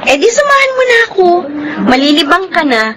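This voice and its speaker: An actress playing a chatty, caring younger sister with a Filipino accent in a radio drama, expressively. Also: female voice, extremely high pitch, fairly quick, noisy background. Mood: playful. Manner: animated, expressive.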